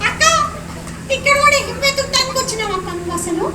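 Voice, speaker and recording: A woman's voice: very high voice, animated, fast talker. A woman voicing a cheeky, chatty little kid puppet in a live puppet show, teasing and sing-song. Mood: playful. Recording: echoey, distant, noisy hall.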